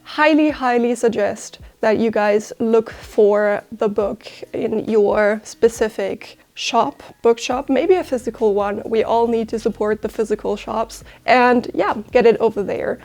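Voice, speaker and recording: A woman's voice: fairly high pitch, measured pace, few pauses, darkish tone. A young woman whose first language is German, talking through a sewing tutorial in an upbeat, chatty, explanatory way. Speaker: sewing teacher.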